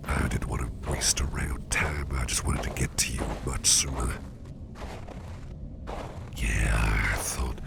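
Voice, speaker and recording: A man's voice: rumbling low, vocal fry, heavily acted. A man playing a caring, protective businessman boss in an intimate ASMR roleplay, whispering breathily and soothingly, often repeating his reassurances. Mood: gently attentive. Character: caring, protective male boss, gentlemanly businessman, soft-spoken and doting.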